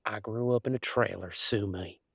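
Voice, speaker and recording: A man playing an easygoing, affectionate country werewolf boyfriend, talking in a folksy, halting way in an intimate roleplay audio. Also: fairly low pitch, fairly even intonation, gravelly, a bit bright, dry recording, studio quality. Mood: sympathetic, indignant. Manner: folksy, drawling slang, halting asides.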